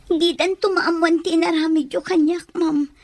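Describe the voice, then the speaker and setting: An actress with an Ilocano Filipino accent playing a tearful young student pleading to be set free in a radio drama. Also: female voice, very high-pitched, steady tone, normal speed. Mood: distressed, protesting. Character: pleading young student held against her will.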